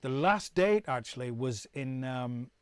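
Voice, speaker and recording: A man's voice: medium pitch, expressive, measured pace, clean tone, no room sound. Man from the UK, middle-aged, casual live stream host, rambling, dry room. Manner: rambling, repeats words and restarts often. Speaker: musician hosting a live stream.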